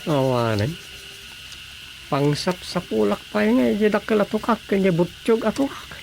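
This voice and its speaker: An actress playing a bumbling, bewildered frog catcher, colloquial and exclamatory, in a Filipino comedy radio drama. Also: female voice, mid-range voice, big pitch swings, normal speed, creaky, over music, rough recording. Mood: musing.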